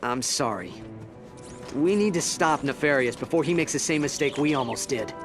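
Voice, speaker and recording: A man's voice: mid-range voice, moderate pace, over music, lightly acted. A man playing a plucky, sarcastic young hero in a video game, with a casual, wry delivery. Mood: earnest. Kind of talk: video game cutscene acting.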